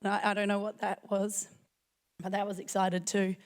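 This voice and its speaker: A woman with a croaky, failing voice giving a chatty, self-joking conference talk. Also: medium pitch, little pitch movement, normal speed. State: croaky, losing her voice. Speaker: conference speaker. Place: conference hall.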